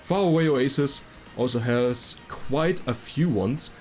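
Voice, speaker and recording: Casting: male game guide narrator, medium pitch, casual and explanatory, music underneath. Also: measured pace, darkish tone. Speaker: video game guide creator. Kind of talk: explanatory gameplay commentary.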